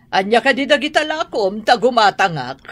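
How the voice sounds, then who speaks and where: A woman's voice: fairly high pitch, sing-song, normal speed, no room sound. A woman playing a haughty, demanding rich matron with an Ilocano accent in a radio drama. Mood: curt, haughty. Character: Madam Carla, haughty, hard-bargaining rich matron.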